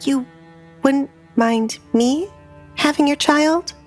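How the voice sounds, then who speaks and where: A woman narrator voicing an anxious, uncertain young bride-to-be in an audiobook, hesitant and soft. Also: sits high, slow pace, pitched above the performer's own voice. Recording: faint background music.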